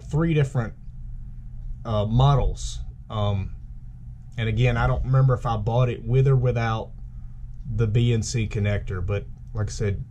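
Male gear reviewer, relaxed mellow tone, casual plain-spoken demo, thinking aloud, audible noise behind the voice. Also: mid-range voice. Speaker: gear reviewer, video host. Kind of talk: casual unscripted product demonstration. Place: small room indoors at a tabletop. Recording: clearly audible background noise.

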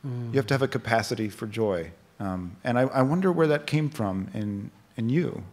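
A man in his forties moderating a stage conversation at a book festival, asking curious, halting questions into a stand mic. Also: mid-range voice, expressive, a little mellow. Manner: halting, many fillers and restarts, curious. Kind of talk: onstage literary conversation. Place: theater stage at a book festival, live audience.

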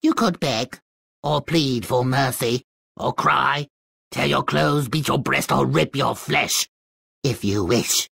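A woman's voice: a bass voice, slow pace, creaky, fairly bright tone, breathy edge, no room sound, full character voice. A woman playing a young fairy-tale princess in a video game, coy and theatrical, sweet on the surface and vengeful underneath. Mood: coldly vengeful. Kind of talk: video game character acting.

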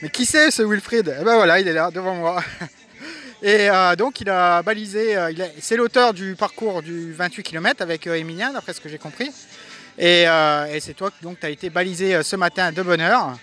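A man's voice: high-pitched, normal speed, pushed and bright, soft background music. A man reporting from a trail race outdoors. He chats cheerfully with runners and organizers, with the sound of a camera mic. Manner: chatty, upbeat, teasing runners. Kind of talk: casual on-the-spot reporting.

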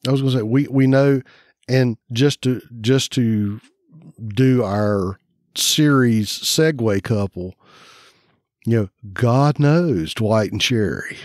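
A man's voice: medium pitch, takes it slowly, a little mellow, no room sound. Easygoing man on a dry podcast mic. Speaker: podcast co-host. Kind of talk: casual podcast chat.

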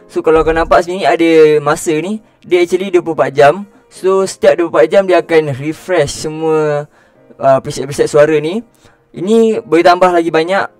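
A man's voice: a little high, a little mellow, no echo. A young man with a Malaysian accent giving a casual, informal tutorial, talking straight to his viewers in slangy everyday speech. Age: young adult. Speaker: YouTube host.

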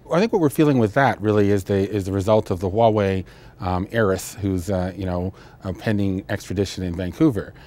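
Low-voiced older man. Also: brisk, mellow. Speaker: interviewed trade expert. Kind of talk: television interview analysis. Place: indoor studio, seated interview.

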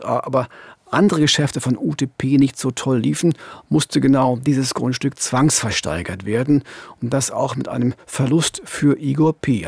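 A middle-aged man presenting a true-crime case in a deliberate, emphatic, conversational narration on a studio microphone. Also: mid-range voice, creaky, a little mellow, husky, dry recording. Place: indoor radio studio. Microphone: studio boom microphone.

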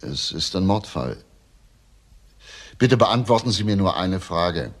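An actor playing a probing, insistent police detective with a gravelly voice in a crime drama. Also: male voice, low voice, very animated, slow pace, heavily acted. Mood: grave, measured. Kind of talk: German TV crime drama dialogue.

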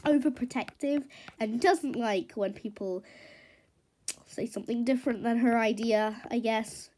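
A woman's voice: fairly high pitch, a little slow, darkish tone, a little hiss or hum, natural voice. A woman voicing a friendly, patient mare in a horse story, speaking in a conciliatory, plain-spoken way. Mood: patient, reproving. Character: friendly, patient mare named Vara, polite peacemaker.

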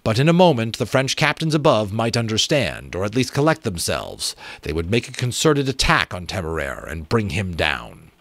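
A man in his thirties or forties giving an expressive, emphatic dramatic reading of an adventure novel. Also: on the low side, normal speed, hardly any pauses, no echo. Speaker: audiobook reader and channel host. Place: small room at home with bookshelves. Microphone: close desk microphone.

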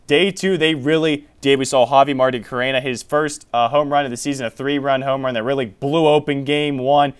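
A man's voice: medium pitch, fairly even intonation, a little fast, few pauses, clear, resonant tone. A young man hosting a college sports show from a small TV studio, running through scores and stats before handing questions to his analyst. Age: young adult. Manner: reads scores and stats, then hands off questions.

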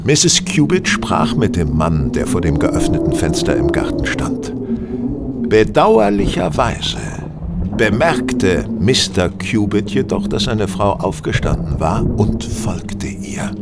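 Gentleman detective, low pitch, expressive melody, assured, didactic, courteous, radio drama, noticeable background noise. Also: vocal fry, full character voice. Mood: grave, assured. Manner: precise, measured, didactic, courteous. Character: confident, brilliant gentleman detective, lecturing and unruffled. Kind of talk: German audio drama acting.

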